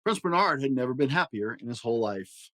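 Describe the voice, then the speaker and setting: A man narrating a children's storybook aloud with expressive delivery. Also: mid-range voice, fairly quick, no room sound. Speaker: storyteller and host.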